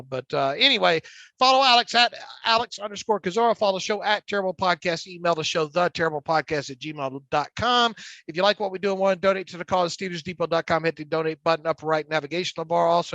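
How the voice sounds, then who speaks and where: Male podcast co-host with a stutter, raspy smoker's gravel, keeps restarting words; dry, muffled sound. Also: a little high, sharp tone, dry recording. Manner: frequent restarts and repeated words. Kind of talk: casual sports chat.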